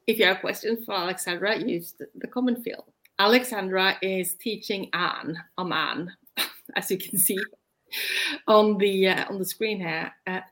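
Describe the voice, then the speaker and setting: A woman's voice: medium pitch, fairly bright tone. A middle-aged woman with a Norwegian accent, co-hosting a casual welcome chat in a chatty way, with laughs and many hesitant fillers. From Norway. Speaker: co-host, knitting teacher.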